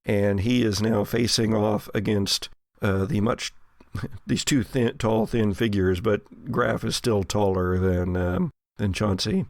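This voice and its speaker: Casting: male game master narrating horror role-play, lowish voice with fry, recorded close and dry. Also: steady tone, slow pace, dry recording.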